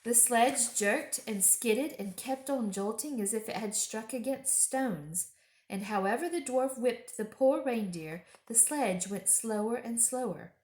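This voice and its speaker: A woman's voice: on the high side, unhurried, a little mellow. Echoey woman reading a children's story. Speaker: storytime reader. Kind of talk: expressive children's story read-aloud.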